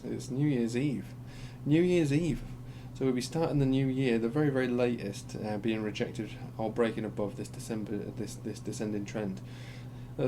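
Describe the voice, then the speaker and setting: Croaky man, halting chart talk, humming background. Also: on the low side. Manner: rambling, hesitant, many ums and restarts. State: croaky voice from being unwell. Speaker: crypto chart analyst. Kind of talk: casual screen-share market commentary. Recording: steady background hum.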